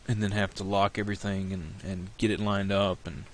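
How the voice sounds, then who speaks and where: A man with a cold and a slightly nasal voice, walking through a software tutorial in a relaxed, rambling way, thinking aloud at his computer. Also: low-pitched, fairly quick, slight background noise. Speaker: tutorial creator.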